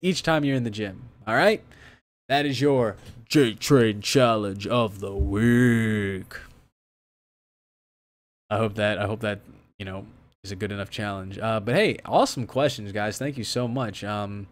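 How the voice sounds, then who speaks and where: A man's voice: lowish voice, unhurried. Rambling young man on a livestream. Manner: rambling, many restarts and fillers; turns emphatic when setting a challenge. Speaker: fitness streamer host. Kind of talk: casual livestream chat answering viewers.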